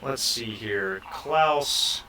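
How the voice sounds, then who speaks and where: A man's voice: mid-range voice, animated, drawn-out words, a crowd in the background. A man chatting casually as he runs an online tabletop role-playing game over a voice call. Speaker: game master.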